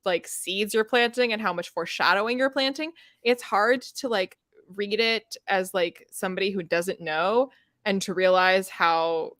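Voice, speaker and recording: A woman's voice: medium pitch, moderate pace, a bit bright, no room sound, studio quality. A woman hosting a casual book discussion, opinionated and sweary, peppering her talk with "like" and acting out imagined reactions. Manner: frequent "like", sweary, acts out imagined reactions in quotes. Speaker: host of a book readalong discussion. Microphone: close microphone on a live video chat.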